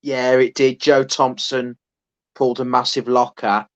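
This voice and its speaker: A man's voice: medium pitch, level tone, a little slow. A man, co-hosting a podcast, who rambles in casual chat with many restarts. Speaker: podcast co-host.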